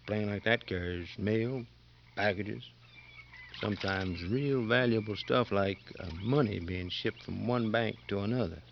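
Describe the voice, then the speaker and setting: A man acting a greedy, impatient convict in a 1950s radio drama, needling and insistent, with a gravelly voice. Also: lowish voice, measured pace. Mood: scheming. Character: scheming, impatient male convict, greedy and hard. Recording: dull, slightly noisy 1950s radio recording.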